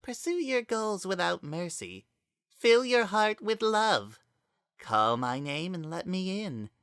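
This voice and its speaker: Narrator voicing an eerily serene, high-pitched possessing child spirit. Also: big pitch swings, fairly bright tone, smooth, no room sound, clean studio sound, exaggerated voice. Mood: coaxing, zealous. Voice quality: maddened, hollow tone. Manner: slow, theatrical, coaxing monologue with deliberate pauses. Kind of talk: dramatic reading of fan fiction.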